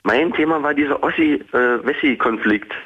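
A man's voice: medium pitch, normal speed, husky. An eighteen-year-old man from Germany with a light Saxon accent, chatting casually as a caller to a radio show. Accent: light Saxon (Dresden). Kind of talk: casual chat. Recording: dull lo-fi phone call.

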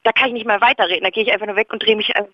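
A woman's voice: medium pitch, steady tone, racing, dry recording. A woman from Berlin chats on a radio phone-in. She is blunt and indignant. Her voice comes through a dull, dry phone line. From Berlin, Germany. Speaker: caller to a radio show. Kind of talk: casual phone chat. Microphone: telephone.

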